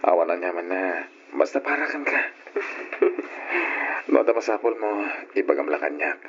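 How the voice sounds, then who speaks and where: Devoted young suitor, Ilocano accent, radio drama style, very lively pitch, muffled noisy recording. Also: male voice, normal speed, exaggerated voice. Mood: attentive, tender. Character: devoted working young man in love, polite and earnest.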